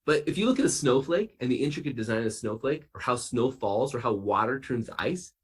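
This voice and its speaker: A man playing an excitable, wonder-filled science doctor for children, gushing and emphatic. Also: medium pitch, a little fast, breathy edge. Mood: awestruck, excited. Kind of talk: kids' science show character.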